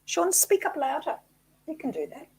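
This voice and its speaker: A woman, co-hosting a casual live chat in a soft, slightly husky voice, close to the mic and often giggling and teasing. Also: sits high, big pitch swings, moderate pace, poor audio. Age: 60s. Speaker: co-host.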